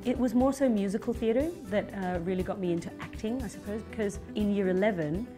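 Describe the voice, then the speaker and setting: A woman in her thirties giving a chatty, animated interview with frequent fillers. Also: medium pitch, fairly quick, music in the background. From Philippines-born, raised in Zambia and Australia. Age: 30s. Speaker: interviewee, stage actress. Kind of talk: conversational interview.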